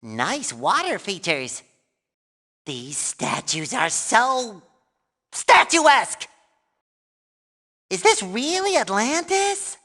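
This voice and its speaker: A very high, bright and nasal cartoon sea sponge, bubbling with childlike cheer. The delivery is squeaky and exuberant, swinging widely in pitch with playful earnestness, as lines for a video game. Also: male voice, sing-song, very slow, pauses often, exaggerated voice. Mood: excited.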